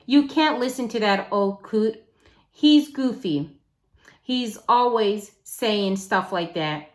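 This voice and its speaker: A woman's voice: medium pitch, takes it slowly, lightly acted. A woman voicing a feisty, bossy young girl from a storybook, insistent and sarcastic as she pleads. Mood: pleading, dismissive.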